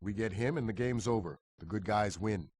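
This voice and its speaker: An actor playing a businesslike, authoritative intelligence chief briefing a field agent in a spy game. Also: male voice, fairly low pitch, moderate pace, a little mellow, no echo, natural voice.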